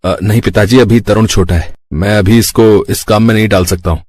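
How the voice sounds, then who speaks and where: A man's voice: fairly low pitch, fairly even intonation, fairly quick, a little mellow, dry recording, natural voice. A man voicing a weary, plaintive young vegetable seller in a Hindi moral-story cartoon. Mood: earnest.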